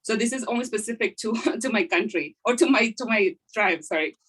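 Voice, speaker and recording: A woman's voice: fairly high pitch, expressive, brisk, fairly bright tone, breathy edge. Woman teaching a craft. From Guatemala. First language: Kaqchikel. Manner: hesitant, explanatory, frequent fillers. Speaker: craft instructor. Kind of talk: hands-on teaching. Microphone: video call.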